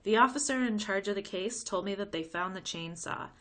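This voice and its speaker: A woman's voice: mid-range voice, a little fast, fairly bright tone, pitched above the performer's own voice, close to an everyday voice. A woman reading a children's storybook, voicing an easygoing, matter-of-fact policeman father who explains things casually. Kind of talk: read-aloud children's storybook.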